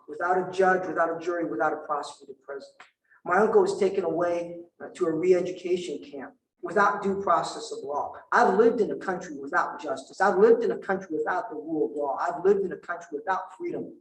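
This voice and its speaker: Middle-aged man born in Vietnam, native Vietnamese speaker, high voice, emphatic campaign delivery, distant mic in a roomy hall. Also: slight background noise. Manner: emphatic, rhetorical lists with measured pauses. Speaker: political candidate at a forum. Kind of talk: campaign speech and forum answer.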